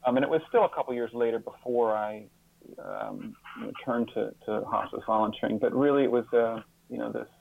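A man being interviewed as a guest, answering reflectively in a halting, thoughtful way with pauses and repeated words. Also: mid-range voice, sing-song, gravelly, no room sound, narrow, tinny audio. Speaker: interview guest, author and hospice volunteer.

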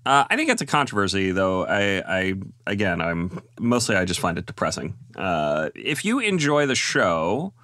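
A man's voice: deep voice, big pitch swings, moderate pace, sharp tone, dry recording, clean studio sound. A man hosting a podcast, moderating a panel and reading out a film review with frequent fillers. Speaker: podcast host.

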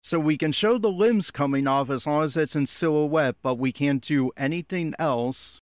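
A man's voice: on the high side, moderate pace, dry recording, studio quality. A man giving blunt, exasperated commentary as he reacts critically to an anime episode. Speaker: podcast host giving audio commentary.

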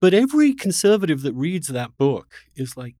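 Male interview host, very animated pitch, dry studio-close sound, halting then emphatic, argues with rhetorical questions. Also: no echo. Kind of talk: casual, spirited conversation.

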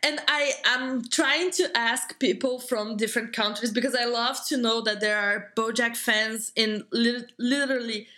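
A woman who did not grow up speaking English hosts a relaxed conversation in a bright, slightly high voice. She sounds curious and eager, asking questions and laughing easily. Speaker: podcast host. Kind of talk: casual chat.

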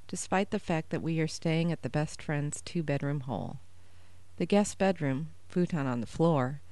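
A woman reading her own first-person short story aloud in a measured, even way. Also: low-pitched, no echo.

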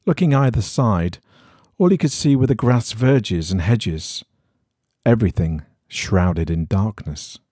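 Male narrator for eerie fiction: low-lit suspense, raspy edge, very lively pitch, close dry sound. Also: measured pace, dry recording. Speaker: story reader. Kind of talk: scripted narration of an eerie horror story.

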